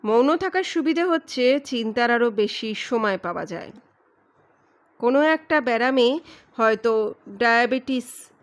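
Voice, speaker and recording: Woman reading as calm, analytical male sleuth. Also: on the high side, voice pushed down. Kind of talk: dramatized audiobook reading.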